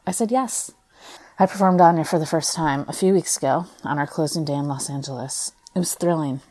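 Low-voiced American woman telling a personal story. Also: fairly quick. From United States. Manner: expressive, conversational, acts out quoted dialogue. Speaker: stage performer. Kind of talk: reading a personal essay aloud, storytelling.

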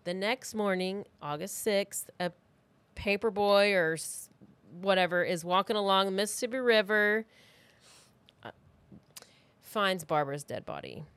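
A woman's voice: medium pitch, a little slow, pauses often, fairly bright tone, smooth, no echo. A woman hosting a true crime podcast, telling the story in a casual, conversational way with informal, blunt asides, close to the microphone.

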